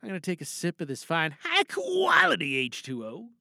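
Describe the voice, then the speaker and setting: A man's voice: a little high, wildly swooping pitch, normal speed, vocal fry, sharp tone, no echo, clean studio sound. A man hosting a podcast with casual, chatty, playful and theatrical talk. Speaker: podcast host. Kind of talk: casual, chatty show introduction.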